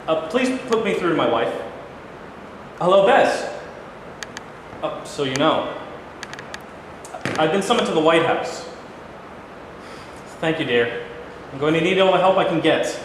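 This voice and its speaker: A man's voice: on the high side, lively intonation, a little slow, pauses often. A man in a staged reading playing a humble, uneasy newly sworn American president. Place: large room at a live staged reading. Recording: very echoey hall, distant hiss.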